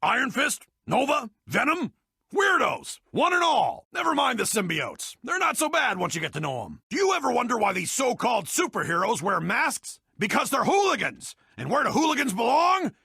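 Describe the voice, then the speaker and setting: An actor playing a blustering, cantankerous middle-aged newspaper boss in a video game, ranting pompously on air in a gravelly, raspy voice. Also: male voice, very high voice, wildly swooping pitch, normal speed, bright, forceful tone, no room sound, studio quality, exaggerated voice. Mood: furious, accusing. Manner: pompous, emphatic, bombastic delivery. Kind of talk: theatrical broadcast rant, video game voice acting.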